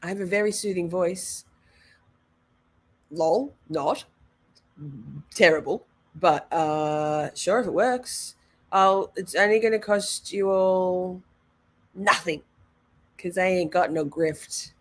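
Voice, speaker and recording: A woman's voice: fairly low pitch, slow, pauses often. A woman streamer reacting to a trial video, chatting playfully with her viewers. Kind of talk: casual stream commentary.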